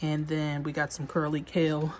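A woman's voice: deep voice, monotone, moderate pace. A young woman who is a bit under the weather, with a stuffy nose, chatting upbeat to viewers as she walks through her indoor seedling shelves, filmed on a handheld phone. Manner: chatty and upbeat, addresses viewers directly with frequent ums. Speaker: gardening vlogger. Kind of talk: casual gardening vlog walkthrough. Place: indoor basement plant shelves under grow lights. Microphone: handheld phone camera.

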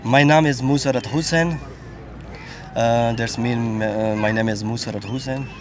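A man in his thirties from Pakistan, a native Pashto speaker, answering a street interview in halting English with many fillers. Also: mid-range voice, fairly even intonation. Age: 30s. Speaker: passer-by interviewee. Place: outdoor park path. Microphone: handheld mic held close. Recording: outdoor street noise.

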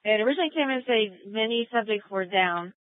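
A woman's voice: medium pitch, lively intonation, fast, no room sound. Over a police radio, an American woman works as a dispatcher, speaking in procedural phrases and clipped acknowledgements. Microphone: radio dispatch mic. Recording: narrow, tinny police scanner radio.

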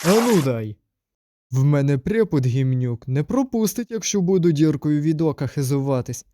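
Male actor for a reluctant comic-dub hero: young student, slightly raised pitch, grumbly dry wit. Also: lively intonation, normal speed, mellow, no room sound, close to an everyday voice. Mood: irritated, hurried.

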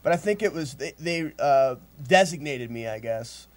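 A man's voice: mid-range voice, lively intonation, moderate pace. A man who plays drums, answering interview questions in a rambling, self-deprecating way. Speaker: drummer in a band. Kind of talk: casual interview chat.

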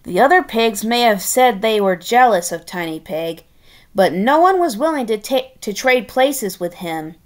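Slow-paced, higher-voiced woman reading aloud. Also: expressive. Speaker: storybook reader. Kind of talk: expressive read-aloud with wry asides.

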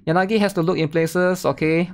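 A man's voice: high-pitched, fairly even intonation, fairly quick. A young man giving casual reaction commentary on a stream, often checking in with questioning tags. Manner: repeats questioning tags like "right?" and "guys". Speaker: streamer reacting to a game trailer. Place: indoor room, streaming at a desk. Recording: game trailer music behind voice.

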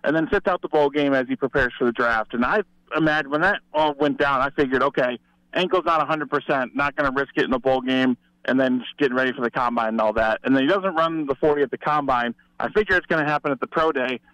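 A man's voice: mid-range voice, fast talker, a bit bright, no room sound. Man on slightly dull remote line. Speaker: sports reporter, show guest. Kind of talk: conversational football analysis.

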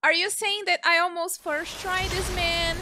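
A young woman streamer reacting excitedly while playing a video game, swearing and laughing. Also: very high voice, normal speed, background noise. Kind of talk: let's play commentary.

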